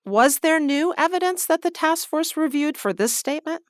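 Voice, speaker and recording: A woman's voice: high voice, animated, normal speed, no room sound, studio quality. A woman hosting an interview podcast, asking structured questions and recapping the answers plainly. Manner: clear, structured, recapping what was said.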